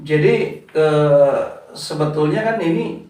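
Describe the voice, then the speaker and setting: A man in his thirties gives relaxed interview answers, pausing on small fillers. His voice sits a little high for a man. He talks from some way off the mic in a very echoey room. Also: distant mic. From West Java, Indonesia. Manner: unhurried, with frequent "eh" fillers, addressing the interviewer as "Pak". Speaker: founder of a community organization.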